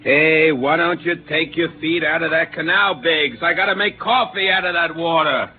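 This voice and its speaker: A man's voice: fairly high pitch, normal speed, close to an everyday voice. An actor playing an easygoing male crewman in a 1950s radio drama; a tinny old broadcast with faint hiss. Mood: casual. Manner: relaxed, then frantic.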